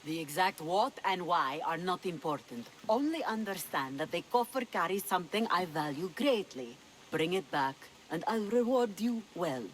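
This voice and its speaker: A woman's voice: fairly low pitch, expressive, moderate pace, a little hiss or hum, close to an everyday voice, low-quality recording. A woman playing a sly, commanding pirate leader in a video game, with theatrical delivery. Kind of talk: video game cutscene acting.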